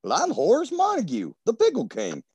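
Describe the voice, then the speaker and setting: Radio comedy role male actor: lonely, bragging rich fool, rambling, very animated, closely and dryly recorded. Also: very high-pitched, fairly quick, slightly rough, no room sound, heavily acted. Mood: lonesome, boastful. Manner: rambling, self-pitying. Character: lonesome, boastful, silly rich pickle king husband. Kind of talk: old-time radio comedy acting.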